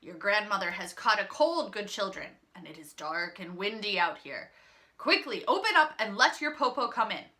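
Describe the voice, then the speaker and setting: A cunning old wolf from a storybook coaxes children while posing as their loving grandmother, acted out by a woman reader. The delivery is bright and swings up and down with false affection. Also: medium pitch, very animated, normal speed. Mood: coaxing. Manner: coaxing, feigning affection.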